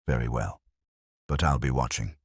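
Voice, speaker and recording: A man voicing a gruff, wary Victorian police inspector in an audiobook, curt and stern. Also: a bass voice, normal speed, no echo, clean studio sound, pitched below the performer's own voice.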